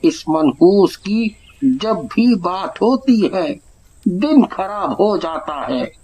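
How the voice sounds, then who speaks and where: A man's voice: high voice, expressive, very slow, darkish tone, a little hiss or hum, full character voice, low-quality recording. A man playing a callous, scornful villager in a cartoon, talking disparagingly. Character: callous, scornful villager urging the sick be cast out.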